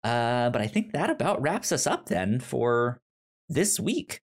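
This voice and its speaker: A man hosting a podcast in casual conversation, halting and often stammering over repeated words. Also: mid-range voice, very animated. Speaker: podcast host.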